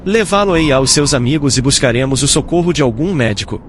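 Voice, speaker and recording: A man plays a devoted servant in an audiobook drama. His medium-pitched voice is earnest, anxious and deferential, with a faint rumble of noise underneath. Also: animated, fairly quick, close to an everyday voice. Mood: concerned, decisive. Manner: earnest, solicitous.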